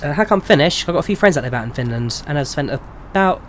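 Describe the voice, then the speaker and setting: A man's voice: a little high, fast, a little hiss or hum. Chatty young English man streaming. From England. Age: 20s. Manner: relaxed, chatty, answers chat questions with frequent ums. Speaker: flight sim streamer. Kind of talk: casual live-stream chat with viewers.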